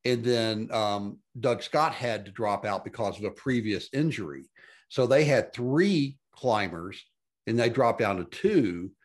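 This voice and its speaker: Casting: male podcast host, excitable, loose slangy questions that wander before landing. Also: fairly low pitch, measured pace. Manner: enthusiastic, slangy, long winding questions. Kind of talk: casual interview questions.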